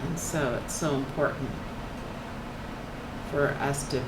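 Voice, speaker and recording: An older woman with a slightly croaky voice, talking casually and softly while she paints, thinking aloud with trailing restarts and sharing a faith reflection. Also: a bass voice, steady tone, takes it slowly. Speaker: painting channel host. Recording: echoey room with air-conditioner hum.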